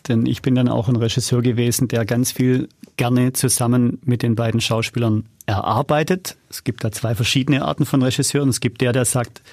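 A man with a noticeable creak in his mid-pitched voice answers as an interview guest. He tells things in a conversational, storytelling way, often quoting dialogue. The sound is dry and close. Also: fairly even intonation, a little fast, hardly any pauses, a little mellow, dry recording. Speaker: podcast guest, audio drama producer.

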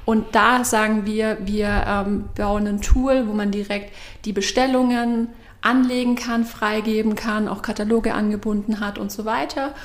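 A woman in her thirties from Swabia, a startup co-founder explaining her work at length in a measured way during an interview. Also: mid-range voice, steady tone, roomy sound. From Swabia, Germany. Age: 30s. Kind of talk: interview answers.

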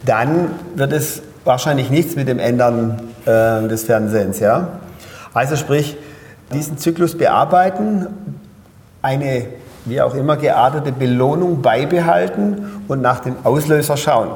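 A man's voice: medium pitch, a little slow, a little mellow, reverberant, big-room sound. A man with a Swabian accent explaining step by step as an interview guest, tagging his points with "ja?". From Pforzheim, Germany. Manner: explains step by step with frequent "ja?" tags. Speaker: guest speaker and coach.

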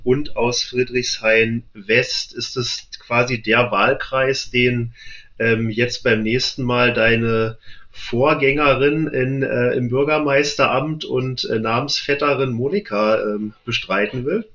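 A man hosting an interview in a casual, conversational way, feeling his way haltingly through his phrases. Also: mid-range voice, fairly even intonation, a bit bright. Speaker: interview host. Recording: slightly dull, lo-fi sound.

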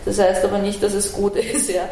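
A woman's voice: mid-range voice, monotone, fairly quick, warm tone. A middle-aged woman from Iran who grew up in Vienna, speaking as an interview guest, frank and reflective in long run-on sentences. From Iran, raised in Vienna. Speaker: interview guest, artist and activist. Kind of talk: conversational interview. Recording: roomy echo, faint ambient noise.

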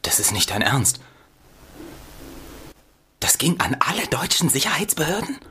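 An actor playing an eager, know-it-all investigative journalist in a radio play, casual and excitable. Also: male voice, on the high side, measured pace, a little hiss or hum, full character voice. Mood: stunned, wary.

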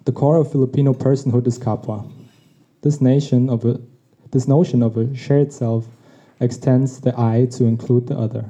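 Very mellow-voiced reflective man. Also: mid-range voice. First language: Tagalog. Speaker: podcast host. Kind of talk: reading a personal essay. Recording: dull lo-fi recording.

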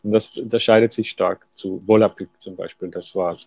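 A man speaks as a writer being interviewed, slow to settle on his words and quick to make light of himself. His voice sits low, with a gravelly fry and a dark, mellow tone. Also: steady tone. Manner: musing, thoughtful, self-deprecating. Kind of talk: radio interview.

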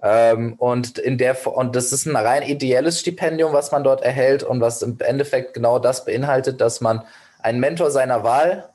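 A young man, a founder being interviewed. His voice sits at an ordinary pitch while he gives long, winding, earnest answers. He is full of fillers and quick restarts. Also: darkish tone. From Germany. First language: Persian. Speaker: startup founder, guest. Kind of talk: podcast interview.

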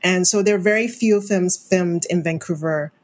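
A woman's voice: on the low side, normal speed, darkish tone. A woman who grew up in Hong Kong, a film scholar speaking thoughtfully in an interview, often restarting and adding "you know". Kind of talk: academic interview conversation.

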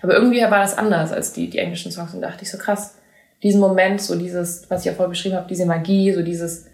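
A young woman, lowish and gravelly, talking in an animated, casual way. She tumbles through restarts and fillers. The room around her sounds echoey. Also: fast. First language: German. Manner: animated, many fillers and restarts, laughs easily. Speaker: singer-songwriter guest. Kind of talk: casual interview chat.

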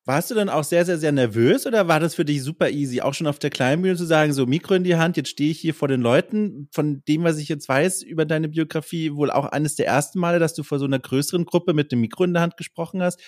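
Rambling man, slightly high voice, dry sound. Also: quick pace, few pauses, a little mellow, no echo. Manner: thoughtful, rambling, many hesitations. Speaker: podcast host. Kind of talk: casual interview talk.

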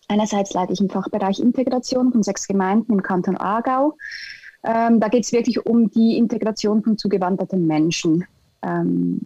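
A woman's voice: mid-range voice, dark tone, no echo, highs cut off. A young woman from Switzerland with a Swiss German accent, giving thoughtful, hesitant interview answers with many fillers. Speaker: interview guest, integration specialist.

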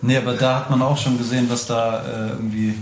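A man's voice: mid-range voice, flat delivery, fast. A man interviewing a musician in a friendly, hesitant way, with many fillers. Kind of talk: casual interview chat. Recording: echoey room, faint noise.

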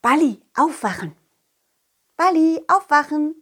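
Woman playing a slow-talking, confident storytelling dog. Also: high voice, expressive, a bit bright. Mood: friendly, eager. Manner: simple, child-directed, addresses listeners directly. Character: Kollin, confident, loyal, cheerful male talking dog. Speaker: storyteller and main character of a children's audio story. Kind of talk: children's audio play, first-person narration.

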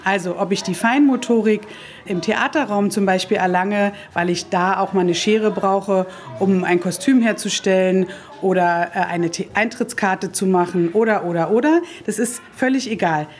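A woman's voice: medium pitch, a little mellow, slight background noise. A woman in her fifties who runs a daycare centre, giving rambling, self-correcting answers with a wry touch. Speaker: daycare director. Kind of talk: interview answers.

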